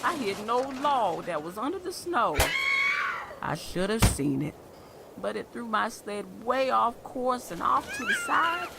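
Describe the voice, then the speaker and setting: Woman as lively, folksy older country storyteller. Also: on the high side, unhurried, vocal fry, heavy background noise, heavily acted. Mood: reminiscing. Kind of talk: audio drama narration.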